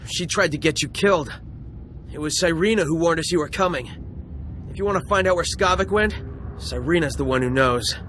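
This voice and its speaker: An actor playing a cowardly, anxious rebel soldier in a video game, pleading to survive. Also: male voice, a little high, a little fast, fairly bright tone, slight background noise, lightly acted. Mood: nervous, bargaining.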